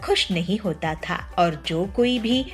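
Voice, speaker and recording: A woman's voice: lowish voice, expressive, measured pace, soft background music. A woman narrating a scripted children's story.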